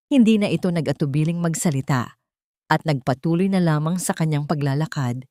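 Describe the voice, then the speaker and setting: A woman's voice: on the low side, darkish tone, dry recording, studio quality. A woman with a Filipino accent reads a scripted dramatic story as its narrator.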